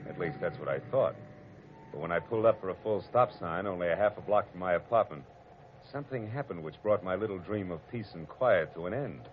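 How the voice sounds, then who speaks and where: Wry detective narrator, very low voice, 1940s radio play, dull old broadcast. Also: male voice, animated, a little fast, background noise. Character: hard-boiled male private detective narrator. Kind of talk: 1940s radio drama acting.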